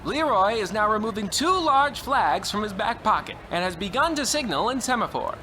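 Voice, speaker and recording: High, swooping old-time baseball announcer played by a man. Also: big pitch swings, normal speed, music in the background. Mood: astonished. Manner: theatrical, swooping, exclamatory. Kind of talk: old-time radio sports commentary parody.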